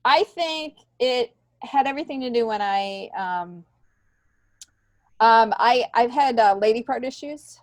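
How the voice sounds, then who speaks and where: A woman's voice: a little high, lively intonation, unhurried, dry recording. A middle-aged woman speaking candidly and reflectively in an informal interview, repeating points for emphasis. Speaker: podcast guest, stand-up comedian.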